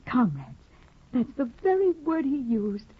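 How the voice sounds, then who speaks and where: A woman's voice: high voice, big pitch swings, measured pace, husky, full character voice. A woman playing a timid, devoted young woman in a 1950s radio drama, earnest and anxious. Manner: earnest and anxious, soft-spoken. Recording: tinny old radio broadcast.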